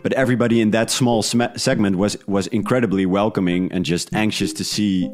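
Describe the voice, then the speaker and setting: A man from the Netherlands with a low, deep voice, speaking as a guest in a casual interview. His phrasing is loose and hesitant, with faint music behind him. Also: fairly even intonation, a little fast. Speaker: podcast creator, interview guest.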